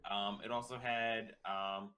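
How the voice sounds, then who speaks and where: A man casually explaining as a guest commentator, halting and repeating his phrases. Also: fairly low pitch, flat delivery, sharp tone, a little hiss or hum.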